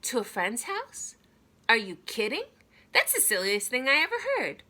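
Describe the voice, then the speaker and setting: A woman's voice: fairly high pitch, wildly swooping pitch, normal speed, pushed and bright, full character voice. A woman voicing an anxious, protesting young boy in a read-aloud storybook, with emphatic, swooping delivery. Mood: defiant.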